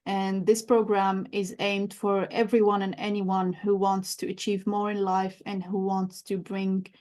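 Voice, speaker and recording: A woman's voice: medium pitch, flat delivery, unhurried, a little mellow. A woman whose first language is Arabic, speaking as a coach in a podcast interview, reflective and searching for words. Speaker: guest coach.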